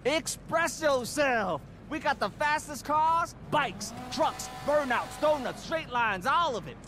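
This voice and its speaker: Very high, flamboyant American car fan. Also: male voice, animated, bright, forceful tone, faint music behind, full character voice. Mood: exuberant. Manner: exuberant, drawn-out showman delivery. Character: flamboyant, chatty young male car-meet regular. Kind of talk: video game cutscene acting. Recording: noisy car meet ambience.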